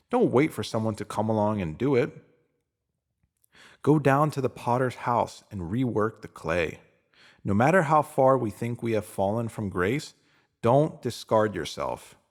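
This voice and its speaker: A man reading a scripted motivational spiritual piece in a deliberate, emphatic manner, pausing to give phrases weight. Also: medium pitch. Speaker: narrator reading a spiritual self-help post.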